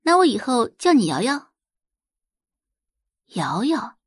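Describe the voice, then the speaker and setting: A tough, self-assured teenage transfer student, voiced by a woman narrator. The delivery is cool and direct with very expressive intonation, in audiobook style and recorded dry. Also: fairly high pitch, slow, slightly rough, dry recording, exaggerated voice. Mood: friendly, confident. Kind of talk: audiobook character acting.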